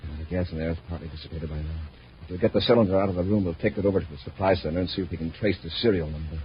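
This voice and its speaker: An actor playing a steady, authoritative space commander in a 1950s radio drama, on an old recording. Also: male voice, low voice, very fast, close to an everyday voice. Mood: composed. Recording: muffled, noisy vintage radio recording.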